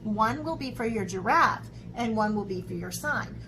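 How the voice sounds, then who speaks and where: A woman's voice: on the high side, expressive, normal speed, noisy background. A woman talking children through an art lesson as teacher and host, chatty and encouraging, often checking in with short questions. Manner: encouraging, chatty, frequent check-in tags like "okay?". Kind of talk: instructional video talk to children, with read-aloud.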